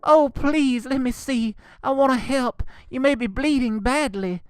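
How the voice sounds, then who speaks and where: A woman's voice: sits high, a little slow, darkish tone, clean tone, no room sound, clean studio sound. A woman playing a devoted young bride in a melodramatic horror audio drama, earnest in manner. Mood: alarmed. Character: young devoted bride, adoring, easily horrified.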